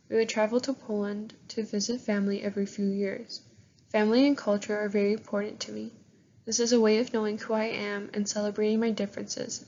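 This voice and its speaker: A woman's voice: mid-range voice, darkish tone, lo-fi sound, slight background noise. A young Canadian woman reading out her prepared class presentation into a modest recorder that dulls the sound, like a slideshow handed in for a course. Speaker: student presenter. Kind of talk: scripted presentation, read aloud.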